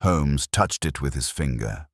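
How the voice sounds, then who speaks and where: Very deep-voiced man narrating a dramatic story. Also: expressive, gravelly, dry recording, studio quality. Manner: measured, formal, literary delivery. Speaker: audiobook narrator.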